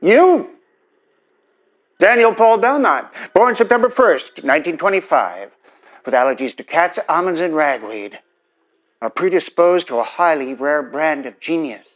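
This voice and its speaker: An actor playing a domineering, wealthy 1950s presidential candidate, pitching grandly and persuasively over a telephone. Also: male voice, lively intonation, a little slow. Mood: grandiose. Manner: grandiose, persuasive salesman patter. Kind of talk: audio drama acting, telephone dialogue. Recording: dull telephone-style sound, faint music.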